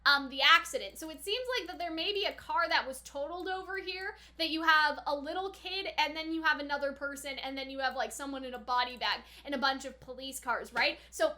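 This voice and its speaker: Female reaction host, high-pitched and bright, informal and speculative, talking through theories conversationally. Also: fairly quick, hardly any pauses. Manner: informal, speculative, frequent "like" and "right?". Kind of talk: casual anime reaction and commentary.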